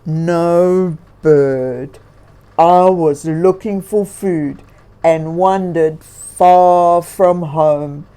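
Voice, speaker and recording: Storybook bear: woman doing a lost male grizzly, lower register, very slow and weighty. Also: fairly even intonation, mellow, clear, resonant tone. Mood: dejected, weary. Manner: slow, heavy, drawn-out delivery.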